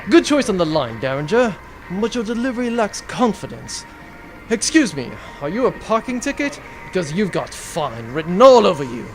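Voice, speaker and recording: Casting: male actor as flamboyant, conceited spaceship rogue for comedy audio drama; high-pitched, very lively delivery over music. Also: normal speed. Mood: cocky, coaching. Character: vain, flamboyant male spaceship crew, roguish and melodramatic.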